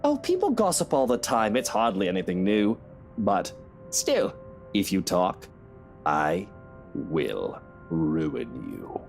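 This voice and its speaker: A woman's voice: rumbling low, wildly swooping pitch, slow, creaky, a little mellow, soft background music, pitched below the performer's own voice, heavily acted. A woman voicing a smug, lecherous, condescending married man in a visual novel. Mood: menacing, smug. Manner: leering, taunting, condescending.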